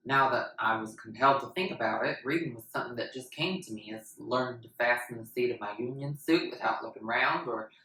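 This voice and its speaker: A woman's voice: very deep voice, fairly quick, hardly any pauses, a bit bright. A woman narrating in the style of a dramatic book reading. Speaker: actor narrating. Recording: echoey, distant mic, faint noise.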